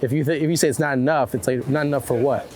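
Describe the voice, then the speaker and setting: A man's voice: medium pitch, fairly even intonation, very fast, a crowd in the background. A man hosting a casual interview, speaking conversationally and putting direct, probing questions. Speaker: host and interviewer.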